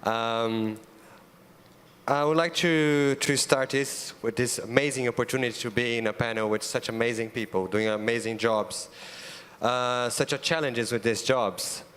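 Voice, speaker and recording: A man's voice: medium pitch, measured pace, a bit bright. Young Brazilian man speaking in a hall. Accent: Brazilian Portuguese. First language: Portuguese. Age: young adult. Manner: earnest, with frequent ums and restarts. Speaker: youth ambassador panelist. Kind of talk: conference panel statement. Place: large conference auditorium.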